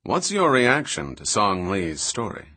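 A man's voice: lowish voice, huge pitch swings, measured pace, pushed and bright, dry recording, full character voice. A man voicing a curious, forthright female marine biologist in an audiobook, asking plain, direct questions. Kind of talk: audiobook dialogue reading.

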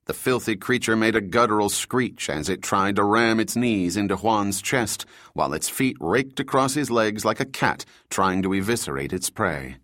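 A male narrator reading a thriller audiobook in a steady, even way. His voice sits low, and the sound is dry and close to the mic, with no room around it. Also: normal speed, hardly any pauses, no echo.

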